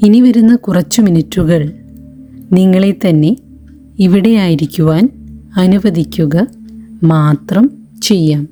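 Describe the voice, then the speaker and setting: A woman leading a guided meditation in a gentle, calm, deliberate way, leaving long pauses between short phrases. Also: lowish voice, soft background music. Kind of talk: slow guided meditation narration.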